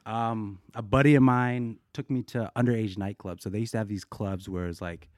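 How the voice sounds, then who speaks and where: Male guest from Colorado, low voice, dry sound, loose rambling storyteller. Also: steady tone, moderate pace, darkish tone, no echo. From United States, Colorado. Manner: laid-back, rambling, acts out quotes. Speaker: guest, dancer. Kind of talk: casual storytelling interview.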